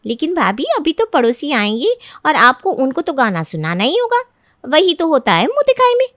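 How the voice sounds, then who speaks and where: A woman's voice: sits high, big pitch swings, normal speed, fairly bright tone, dry recording, clean studio sound, voice pushed up. A woman voicing an earnest, sweet young sister-in-law in a storybook tale. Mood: encouraging.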